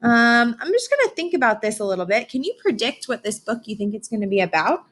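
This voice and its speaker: A woman's voice: fairly high pitch, big pitch swings, fast talker, a bit bright. A woman teaching young children in an interactive read-aloud lesson, encouraging and instructional, often putting questions to her listeners.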